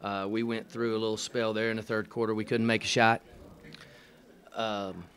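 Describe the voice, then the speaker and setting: Middle-aged male coach at a press table mic, low-pitched, halting and matter-of-fact with dry asides. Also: flat delivery, a bit bright. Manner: halting, many fillers and restarts, dry matter-of-fact humor. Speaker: head coach. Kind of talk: post-game press conference answers. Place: press room in front of a sponsor backdrop. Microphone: table microphone.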